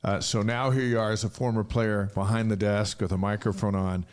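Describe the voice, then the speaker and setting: A male co-host with a low voice interviews a former player. He is casual and friendly and teases a little between questions. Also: little pitch movement, a little fast, darkish tone, clear, resonant tone. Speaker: sports show host.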